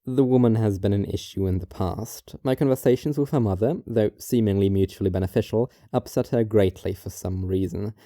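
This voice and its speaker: A man narrating a scripted first-person story in a measured, detached, formal manner. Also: fairly low pitch, mellow, no echo, clean studio sound.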